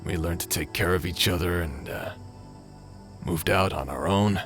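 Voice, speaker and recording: A man playing a guilt-ridden, grieving ex space pirate in an audio drama, speaking haltingly with heavy pauses. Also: sits low, fairly bright tone, soft background music. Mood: grieving, wistful. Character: grief-stricken, guilt-ridden male ex space pirate brother. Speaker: voice actor in an audio roleplay.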